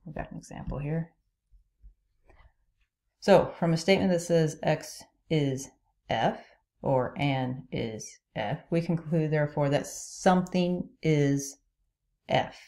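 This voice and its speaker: A woman's voice: sits low, slow pace, long pauses, a little mellow. A woman teaching a logic lesson as a voice-over on slides, explaining each rule step by step and checking in with "okay?". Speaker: logic instructor. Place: voice-over on screen recording.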